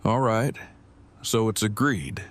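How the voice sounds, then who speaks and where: Man acting a stiff, low-voiced, pedantic survivor. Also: takes it slowly. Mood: earnest. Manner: stiff, verbose, precise formal wording. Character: pedantic, formal, earnest man in love, zombie-apocalypse survivor. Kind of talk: audio drama acting.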